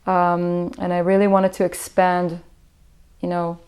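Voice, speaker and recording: A young Israeli woman, a native Hebrew speaker, talks about her work in a low, level voice that barely rises or falls. She thinks aloud, pausing on fillers and restarting her sentences in an unhurried, reflective interview manner. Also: monotone, a little mellow, smooth. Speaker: musician. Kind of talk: interview answers.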